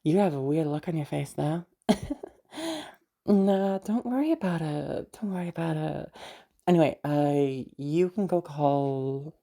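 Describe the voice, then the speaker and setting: A woman playing a protective, easygoing big sister in an intimate audio roleplay, speaking in a halting, reassuring way with sighs and casual swearing. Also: sits low, warm tone. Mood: awkward, concerned. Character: protective, mysterious, easygoing big sister escorting a new acquaintance.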